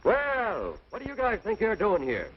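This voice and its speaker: A muffled old radio recording with faint noise. a bossy cop, high-voiced and officious, barking brusque orders with big swings in pitch. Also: male voice, wildly swooping pitch, unhurried, slightly rough, heavily acted. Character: brusque, bossy policeman clearing out loiterers. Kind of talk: 1940s radio comedy acting.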